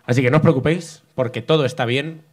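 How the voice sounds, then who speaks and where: A man's voice: mid-range voice, quick pace, very noisy. A man co-hosting a live podcast, introducing the guest in an upbeat way. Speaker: podcast co-host. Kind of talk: casual chat and introductions.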